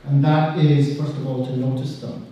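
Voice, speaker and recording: A middle-aged man with a heavy Israeli accent, a clinical social worker speaking slowly and deliberately to an audience, with pauses and soft drops in his voice. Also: mid-range voice, level tone. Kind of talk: public speech to an audience. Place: large hall. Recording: distant, echoey, dull hall recording.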